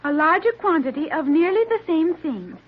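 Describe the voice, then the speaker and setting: A woman's voice: extremely high pitch, animated, normal speed, narrow, tinny audio. An actress playing a naive, literal-minded girl in an old-time radio drama, speaking in formal, precise sentences. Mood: puzzled, earnest. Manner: stilted, formal, precise, without contractions. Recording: band-limited, noisy old radio recording.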